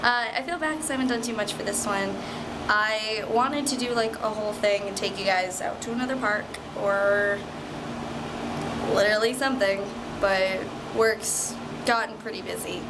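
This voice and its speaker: A woman's voice: medium pitch, moderate pace, background noise. A young American woman talking casually to viewers like a video diary, rambling in a playful, self-deprecating way. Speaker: vlogger.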